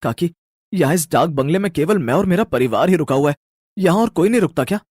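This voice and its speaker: A man's voice: fairly high pitch, animated, quick pace, a little mellow, husky, dry recording, clean studio sound. A man playing a scared, insistent college-age son in a horror story, anxious and stammering. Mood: uneasy.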